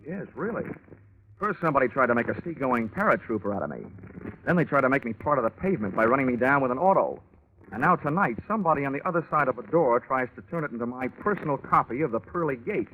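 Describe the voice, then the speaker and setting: A man's voice: medium pitch, expressive, fast talker, close to an everyday voice. An American man playing a brash, wisecracking hard-boiled investigator in a 1940s radio drama, with a wry, slangy delivery. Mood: irritated, sardonic. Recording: tinny, noisy 1940s radio recording.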